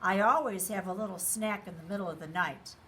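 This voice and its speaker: A woman's voice: medium pitch, brisk, sharp tone, a little hiss or hum, close to an everyday voice. A woman voicing a friendly, easygoing storybook squirrel in a cheerful, matter-of-fact manner. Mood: cheerful, welcoming. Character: friendly, easygoing squirrel who snacks at night.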